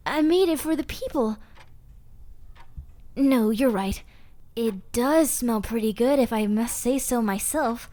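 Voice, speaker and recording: Sweet, slow-talking monster girl, acted by a woman. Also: a little high, mellow. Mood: bashful, tempted. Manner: chatty, rambling, bashful, hesitant in places. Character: sweet, eager, scatterbrained female monster girl who bakes. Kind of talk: roleplay audio drama, speaking to a listener.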